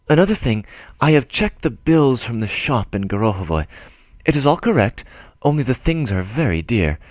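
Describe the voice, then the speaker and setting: A man's voice: medium pitch, no room sound, natural voice. A man reading an audiobook as a humble, timid older clerk who rambles, breaks off and fusses tenderly in his letters. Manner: rambling, self-interrupting, tender.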